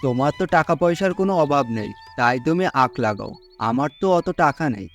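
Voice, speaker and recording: A man's voice: mid-range voice, animated, fast talker, gravelly. A man playing a poor, trusting farmer in a cartoon folk tale, speaking earnestly and deferentially. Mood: humble, earnest. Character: poor, gullible, humble male farmer, trusting and hesitant. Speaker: cartoon voice actor. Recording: music under the voice.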